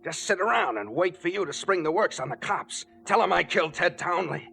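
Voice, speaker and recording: Tense, hard-boiled killer, sharp-voiced. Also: male voice, high voice, expressive, a little fast, gravelly, soft background music, exaggerated voice. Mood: threatening. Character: tense, suspicious, sleepless male killer on edge. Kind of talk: 1940s radio acting.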